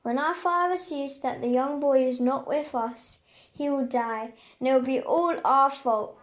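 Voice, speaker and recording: A woman playing an anguished brother who pleads to be enslaved in another's place, in a halting amateur dramatic reading. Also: high-pitched. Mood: pleading.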